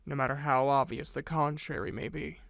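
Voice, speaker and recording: Guy playing clueless earnest student, totally flat deadpan, gravelly, audio drama. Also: mid-range voice, no echo, clean studio sound. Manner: deadpan, measured. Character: earnest, oblivious, deadpan young male student.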